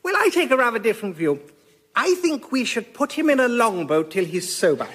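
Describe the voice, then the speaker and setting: An actor playing a daft, stubbornly earnest admiral in a radio comedy sketch, pompous and blithely insistent. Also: male voice, very high voice, very animated, fairly quick, fairly bright tone, heavily acted.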